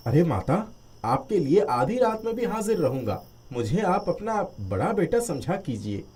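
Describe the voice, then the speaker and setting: A man voicing a respectful, eager village headman in an animated moral story, courteous and expressive. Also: on the high side, moderate pace, breathy edge. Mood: pleased, courteous.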